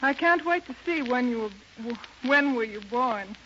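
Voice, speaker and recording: A woman's voice: a little high, lively intonation, normal speed, fairly bright tone. A woman, an actress, making scripted stage chat on a 1930s radio show. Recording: dull, noisy 1930s radio recording.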